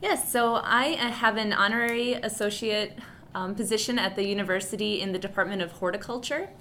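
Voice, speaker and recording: A woman's voice: mid-range voice, a little fast, bright, forceful tone, slight background noise. A woman from Wisconsin, a plant genetics researcher, introducing herself in an orderly, matter-of-fact way in a radio interview.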